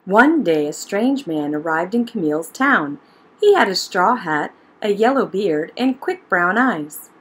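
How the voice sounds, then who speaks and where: A woman narrating a children's picture book in an expressive, measured storytelling manner. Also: medium pitch. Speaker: storybook reader.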